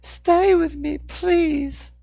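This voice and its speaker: An adult narrator voicing a frightened, hungry little boy of about four, with a strained, whispery voice and a halting, pleading manner. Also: extremely high pitch, steady tone, creaky, warm tone, dry recording, voice pushed up, heavily acted. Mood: urgent pleading.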